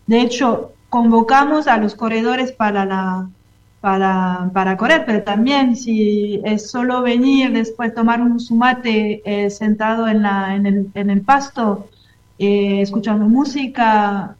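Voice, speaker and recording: A woman from France speaking Spanish with a French accent in a casual interview, often pausing to search for words. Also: a little high, lo-fi sound. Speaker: interview guest, French cultural institute representative.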